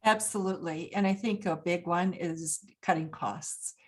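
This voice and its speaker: A woman from Japan speaking as an expert guest, explaining points deliberately and emphatically, posing questions and answering them. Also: on the low side. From Japan, grew up there. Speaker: interview guest, business expert. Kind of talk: explanatory interview talk.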